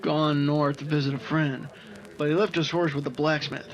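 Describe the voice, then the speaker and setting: Man playing a terse, higher-voiced outlaw henchman. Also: flat delivery, moderate pace, a little hiss or hum, lightly acted, low-quality recording. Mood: conspiring. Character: laconic male outlaw henchman, wary and obedient. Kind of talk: old-time radio drama acting.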